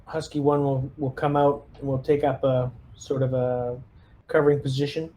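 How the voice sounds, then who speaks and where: A man's voice: medium pitch, level tone, normal speed, warm tone, a little hiss or hum, low-quality recording. A man chatting casually as he plays a tabletop roleplaying game, giving orders while thinking aloud. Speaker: player leading a tabletop game squad.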